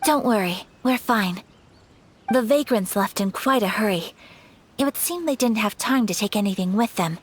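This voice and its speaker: A woman's voice: medium pitch, expressive, husky. A woman voicing a composed, formal young noblewoman in a video game. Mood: calm. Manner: formal, measured. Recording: game music behind.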